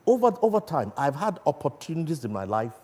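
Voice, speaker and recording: A middle-aged man with a Nigerian accent making his points deliberately and earnestly as a guest on a panel. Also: a little high, sing-song, darkish tone, long reverb tail. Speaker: guest, barrister. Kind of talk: panel discussion. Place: TV studio.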